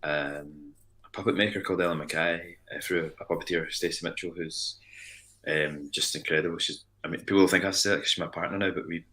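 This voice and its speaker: A man with a low voice that has a bright, forward edge chats easily in an interview. He rambles and restarts his sentences in a relaxed way. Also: brisk. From Ayrshire, Scotland. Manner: rambling, self-interrupting, easygoing. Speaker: musician, band frontman. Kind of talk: casual interview chat.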